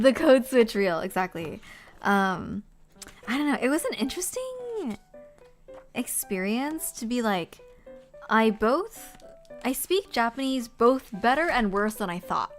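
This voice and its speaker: A chatty woman talks easily with her viewers while she organizes stationery, rambling from one thought to the next and breaking into laughs. Her voice sits at an ordinary middle pitch. Soft music plays quietly underneath. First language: Korean. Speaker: streamer. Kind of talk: casual livestream chat while organizing.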